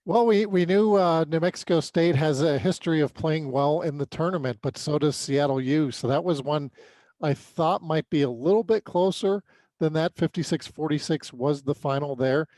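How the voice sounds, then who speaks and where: An American man co-hosting a sports podcast, giving an unscripted recap in a halting way with repeated words. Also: a little high, darkish tone, no room sound.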